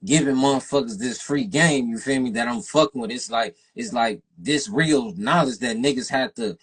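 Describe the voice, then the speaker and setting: Husky young man hosting a radio chat. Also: medium pitch, moderate pace. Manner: loose slangy talk, lots of "you feel me". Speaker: radio show host interviewing an artist. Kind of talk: casual interview chat.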